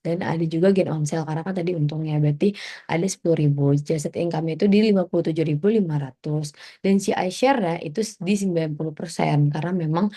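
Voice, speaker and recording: Young woman, lowish pitch, dark relaxed tone, informal student presentation, conversational step-by-step explaining. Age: young adult.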